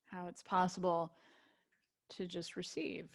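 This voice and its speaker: Slow, low-voiced woman guiding meditation. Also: level tone, vocal fry. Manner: gentle invitations with long pauses. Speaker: yoga nidra teacher. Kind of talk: guided relaxation meditation.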